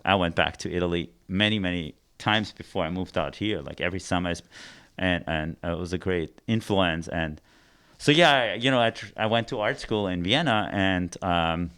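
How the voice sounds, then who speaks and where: A middle-aged man with an Austrian accent, speaking with a somewhat low voice. He tells his life story in a loose, rambling way, full of fillers and restarts. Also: normal speed, fairly bright tone, clear, resonant tone. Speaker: arts program director. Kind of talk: casual interview storytelling.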